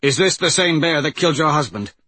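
A man voicing a practical male warrior in an audiobook, brisk, matter-of-fact and wry. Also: fairly high pitch, animated, pushed and bright, dry recording, voice pushed up.

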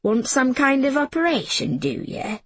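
A woman's voice: sits high, very animated, normal speed, husky, no room sound, voice pushed up, full character voice. Woman acting a scornful, scolding English mother. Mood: suspicious, scolding. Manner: blunt, scolding, colloquial speech. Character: scornful, angry working-class English mother, outraged and suspicious. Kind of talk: audiobook character voice.

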